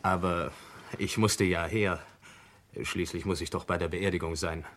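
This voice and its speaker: An actor playing a self-assured nephew and heir under suspicion in a German radio crime play, indignant and emphatic. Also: male voice, low-pitched, steady tone, unhurried, a bit bright, slight background noise.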